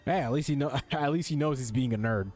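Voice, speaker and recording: A man streaming his reactions to a game in a joking, slangy way. His pitch sits in the middle, and game music plays faintly behind him. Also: quick pace, a little mellow. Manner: slangy, joking, reads on-screen text aloud. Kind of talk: casual gaming commentary.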